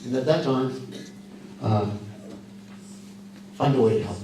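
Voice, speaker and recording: A man with a scratchy voice giving a folksy, earnest talk at a church and answering questions, halting often with fillers and restarts. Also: mid-range voice, dark tone. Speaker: guest speaker. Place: church sanctuary. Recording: echoey hall from far off.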